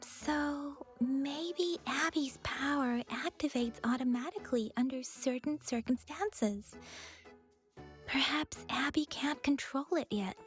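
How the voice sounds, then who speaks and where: A polite, thoughtful young woman from a video game. The voice sits high, with measured, courteous, earnest phrasing over faint music. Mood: pensive. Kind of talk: video game dialogue acting.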